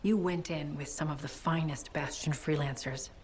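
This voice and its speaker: Casting: cool, questioning spy-agency operative voiced by a woman, lowish pitch, controlled, game cutscene. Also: moderate pace, a little mellow, slight background noise, natural voice. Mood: cool, probing. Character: composed, probing female intelligence agent. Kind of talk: video game cutscene acting.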